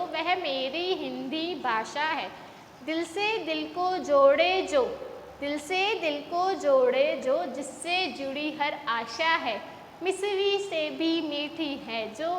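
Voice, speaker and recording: A woman's voice: high-pitched, fairly bright tone, reverberant, in a large hall, a little hiss or hum. A woman school teacher speaking patiently to young children, explaining a topic and reading a poem line by line for the class to repeat. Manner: patient, explains slowly, addresses children as "beta"; reads poem lines slowly and repeats them for the class. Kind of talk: recorded class lesson with poem recitation.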